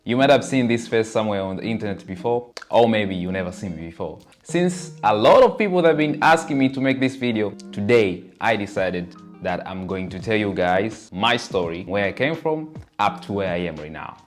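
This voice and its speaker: A man in his twenties from Tanzania, telling his life story to his channel in an earnest, animated way. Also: on the low side, normal speed, few pauses, faint music behind. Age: 20s. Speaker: content creator. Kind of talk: personal storytelling to camera.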